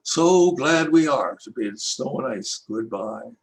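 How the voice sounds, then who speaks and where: Older man slowly reciting his poems. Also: medium pitch, creaky, slight background noise. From Canada. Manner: lilting, expressive recitation with rhythmic rhyme. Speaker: poet reading his own work. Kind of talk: slow, measured poetry reading.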